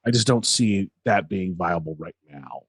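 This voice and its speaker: A man co-hosting a sports podcast, giving casual commentary with a halting delivery that repeats words. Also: low voice, expressive, husky, no room sound.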